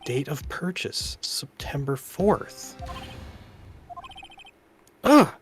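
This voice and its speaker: A man's voice: medium pitch, measured pace. Man reading game text over music. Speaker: narrator of a game playthrough.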